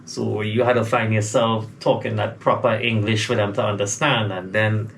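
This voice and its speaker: Gravelly man with faint Trinidadian accent. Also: on the low side, level tone, normal speed, fairly bright tone, slight background noise. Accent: faint Trinidadian, mostly lost. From Trinidad and Tobago. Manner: hesitant, repeats words as he starts. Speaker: recording artist guest. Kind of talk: casual interview.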